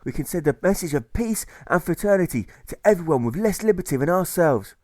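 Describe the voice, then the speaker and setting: A man voicing a fiery anti-war orator in an audiobook, loud and declamatory as he rallies workers at a protest. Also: a little high, lively intonation, fairly quick, dry recording, clean studio sound, voice pushed up, natural voice. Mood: rousing. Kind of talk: political rally speech in a rhyming audiobook.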